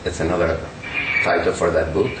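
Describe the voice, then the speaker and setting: A man's voice: deep voice, flat delivery, a little fast. A man being interviewed, talking emphatically with many fillers. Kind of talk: casual recounting. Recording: echoey room, distant mic, faint noise.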